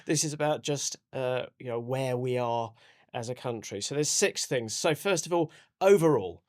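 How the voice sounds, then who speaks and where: A man's voice: medium pitch, moderate pace. A man hosting a podcast, putting questions to a guest in a conversational interviewing style. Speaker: podcast host.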